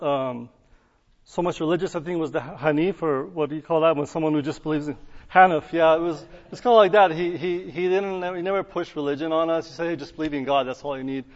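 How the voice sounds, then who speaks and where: A man from Iran sharing his conversion testimony with an audience, in a halting way full of fillers and restarts. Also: a little high. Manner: halting, heavy with fillers and restarts, light chuckles. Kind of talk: personal testimony talk to an audience. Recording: echoey hall, dull lo-fi sound.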